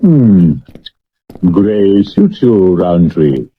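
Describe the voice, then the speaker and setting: A man acting an imperious, self-assured Victorian gentleman detective in a 1970s radio drama, English-accented, pompous and unruffled. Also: sits low, sing-song, drawn-out words, slightly rough, exaggerated voice. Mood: wry, self-assured. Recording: dull lo-fi vintage radio recording.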